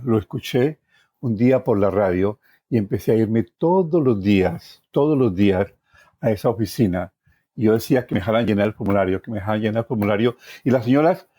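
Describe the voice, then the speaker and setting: Low-voiced Colombian man telling his story. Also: mellow. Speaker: interviewee recounting his life. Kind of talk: personal oral storytelling.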